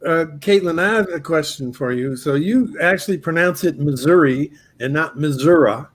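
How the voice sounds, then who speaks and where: Chatty host man, slightly high voice. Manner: chatty, many filler pauses and restarts. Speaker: talk show host. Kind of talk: casual video-call interview. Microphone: video call.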